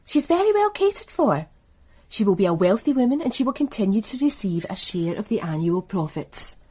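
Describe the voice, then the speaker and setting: A cool, husky scheming businesswoman. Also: mid-range voice, big pitch swings, brisk, warm tone. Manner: cool, guarded, seductive. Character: cool, calculating businesswoman in her thirties. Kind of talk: radio drama acting.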